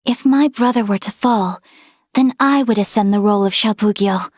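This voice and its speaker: A young woman playing a refined, gracious noblewoman in a video game, speaking in formal, politely measured diction. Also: mid-range voice, darkish tone, no echo, clean studio sound, close to an everyday voice. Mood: earnest, gracious. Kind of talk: video game character voice lines.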